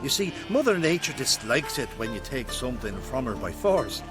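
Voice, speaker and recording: A good-humored fantasy game druid voiced by a man, with a mid-pitched, very lively voice. He is theatrical and worldly-wise, with music playing behind him. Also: normal speed, natural voice. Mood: earnest. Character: jovial, worldly-wise male weather-working druid. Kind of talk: scripted video game acting.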